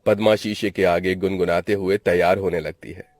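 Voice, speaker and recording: A man's voice: deep voice, level tone, normal speed, a little mellow, no echo, soft background music. A man narrating a scripted story in a dramatic style.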